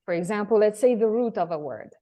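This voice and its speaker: A woman's voice: medium pitch, expressive, fast, dark tone. A woman from Tunisia, hosting a podcast interview in a casual, hesitant way with many fillers. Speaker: podcast host.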